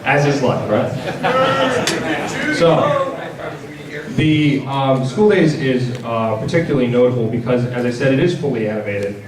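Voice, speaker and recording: A man's voice: mid-range voice, expressive, measured pace, long pauses. A man hosting a panel, giving an informal product presentation with a casual, wry, joking delivery. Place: large indoor convention panel room. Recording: roomy, distant mic, audible audience. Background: audience crowd.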